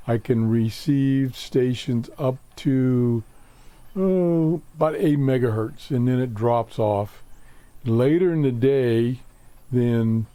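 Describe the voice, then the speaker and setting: A man hosting a casual hobby radio show from his workshop, talking off the cuff in a halting, matter-of-fact way with pauses mid-sentence. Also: medium pitch, dark tone, no room sound. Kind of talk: casual unscripted radio demonstration. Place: home workshop.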